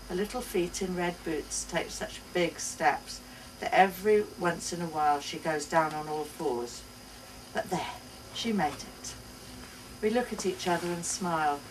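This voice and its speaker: Female reader for live short-story narration, measured and slow, recorded from off in a room. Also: on the low side. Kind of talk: expressive live literary reading, first-person narration. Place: indoors at a bookshop book launch. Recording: distant mic, noisy live bookshop event.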